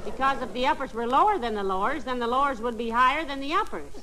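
An actress playing a patient, gently teasing wife in an old radio comedy, folksy and mock-innocent. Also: female voice, high voice, fast, a faint crowd, a little hiss or hum, poor audio. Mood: mock-innocent questioning. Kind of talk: 1940s radio comedy acting. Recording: old radio recording before audience.

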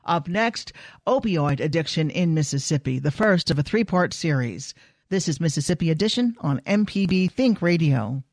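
Higher-pitched male radio host, dry studio sound. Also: expressive, moderate pace, dry recording. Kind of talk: scripted public radio announcing.

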